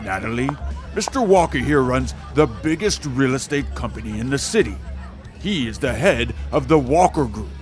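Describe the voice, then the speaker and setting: Man playing a scheming, animated middle-aged father. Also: medium pitch, moderate pace, music in the background, a crowd in the background, close to an everyday voice. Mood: formal. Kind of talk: melodramatic audio drama acting.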